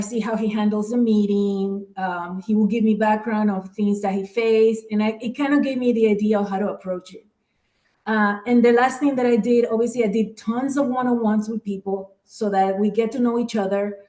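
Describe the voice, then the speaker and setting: Woman from the dominican republic on a video call, chill, lots of "like", echoey room. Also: mid-range voice. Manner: relaxed, many fillers like "like" and "um". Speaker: guest speaker, program manager. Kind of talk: casual webinar chat.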